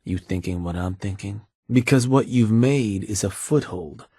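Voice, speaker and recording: A scheming raider lieutenant in a game, measured and cool. The voice sits low with a husky grain. It is recorded dry and close, with no room sound. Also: male voice, mellow, no echo. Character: shrewd male raider gang lieutenant, calm. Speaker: game character. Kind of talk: game voice lines.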